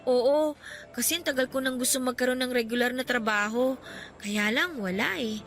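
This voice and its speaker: A woman's voice: sits high, moderate pace, fairly bright tone. A woman playing a shy, insecure young co-worker, hesitant and lightly teasing, in radio drama dialogue. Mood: doubtful, teasing. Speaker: drama voice actor.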